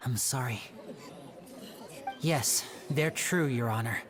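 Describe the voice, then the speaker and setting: A man's voice: mid-range voice, takes it slowly, close to an everyday voice. A man playing a young defendant in a video game, composed, guarded and polite. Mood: contrite. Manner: polite, restrained. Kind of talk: video game character acting. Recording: music behind the voice.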